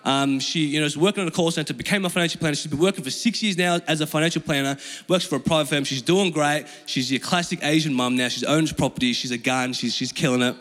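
Young aussie guy preaching, first language chinese, talks quick and slangy, big echoey hall. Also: fairly high pitch, fairly bright tone, soft background music. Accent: Australian. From Australia, Melbourne. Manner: informal, slangy. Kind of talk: conversational sermon with personal storytelling. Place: large reverberant room with an audience. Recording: long hall reverb.

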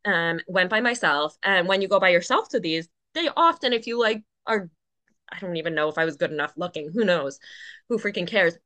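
Bright-voiced woman telling a story. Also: on the low side, fairly quick. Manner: animated, chatty, many fillers. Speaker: guest, Paralympic rower. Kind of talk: casual storytelling chat.

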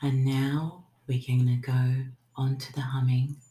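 A woman leading a guided breathing meditation, giving soothing, unhurried instructions that sometimes trail into a whisper. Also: rumbling low, little pitch movement, mellow. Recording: roomy, echoing sound.

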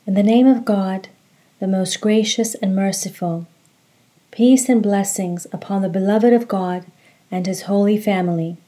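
A woman guides a meditation in a soft-edged, mellow tone. She speaks very slowly and calmly, delivering each instruction in a measured way at a medium pitch. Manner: slow, calm, measured instructions. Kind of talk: guided meditation narration with recitation.

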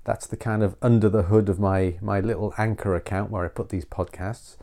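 A man's voice: on the low side, fairly even intonation, brisk, gravelly, mellow. A middle-aged man hosting a solo podcast, nasal from a cold, rambling and self-deprecating with frequent restarts. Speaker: podcast host.

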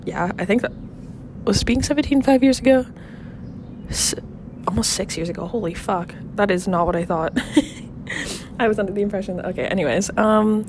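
A woman's voice: on the low side, noisy background. A young woman in her twenties hosting a casual solo podcast, rambling with many fillers and laughs, a bit nasal and congested from a cold. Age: 20s. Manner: rambling, filler-heavy, frequent laughs and swearing. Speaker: podcast host. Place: outdoor apartment balcony.

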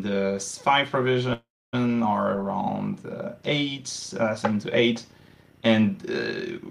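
A man's voice: on the low side, vocal fry. A man answering interview questions as a game designer, hesitant, with many fillers and restarts. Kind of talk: casual podcast interview.

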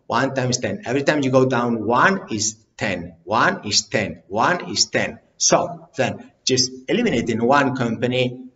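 A man who is not a native English speaker, a company CEO talking straight to the camera in plain, halting phrases and repeating words. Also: mid-range voice, fairly bright tone, highs cut off. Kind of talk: direct-to-camera business talk.

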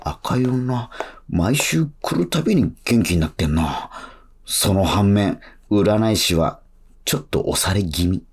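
Radio drama lead: cynical young street musician, low, lively delivery, blunt muttered asides. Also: male voice, measured pace. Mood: puzzled, wry. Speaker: narrator and lead character. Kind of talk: first-person radio drama monologue. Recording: acoustic guitar music behind.

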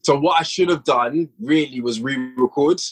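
A man guesting on a podcast, chatting casually with a relaxed, encouraging, filler-heavy manner. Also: medium pitch, moderate pace, husky. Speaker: podcast guest, audio drama maker. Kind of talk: casual chat.

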